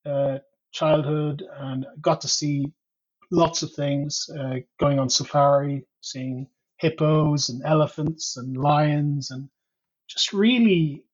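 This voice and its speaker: An Irish-born man recalls his past at an easy pace, pausing often to think. His voice is slightly high and husky, and the recording sounds dull and lo-fi. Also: fairly even intonation. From Ireland. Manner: unhurried, many ums and uhs, reflective storytelling. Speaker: interview guest. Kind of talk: casual conversational interview.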